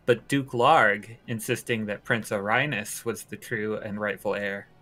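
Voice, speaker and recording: A woman's voice: a bass voice, steady tone, a little slow, fairly bright tone, faint music behind, pitched below the performer's own voice, full character voice. A woman voicing a solemn, formal storyteller narrating a war chronicle for a video game. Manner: grave, formal.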